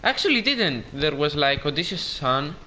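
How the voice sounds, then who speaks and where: A man's voice: fairly high pitch, sing-song, normal speed, pushed and bright, slight background noise, low-quality recording. A man with a Greek accent chatting casually and joking while streaming a game and reading chat.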